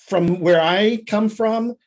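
A man's voice: sits high, slow pace, darkish tone. A man talking casually as a screenwriter and director guest on a podcast, often chuckling as he speaks.

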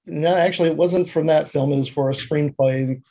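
A man with a fairly high, rough-edged voice speaks as a guest in a casual podcast interview. He talks conversationally about his craft as a screenwriter and director. He is prone to chuckling as he talks. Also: steady tone, normal speed, warm tone. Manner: chuckles often while talking.

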